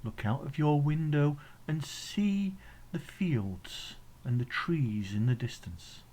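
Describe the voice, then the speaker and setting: A man with an English accent leading a guided meditation in a gentle, soothing, instructional way, leaving pauses between phrases. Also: fairly high pitch, lively intonation. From England.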